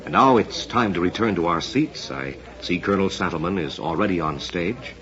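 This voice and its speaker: A dull, band-limited old radio recording of an American man announcing in 1950s style. His voice sits fairly low and swings widely in pitch as he delivers scripted copy with polished emphasis. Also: expressive, normal speed, a bit bright.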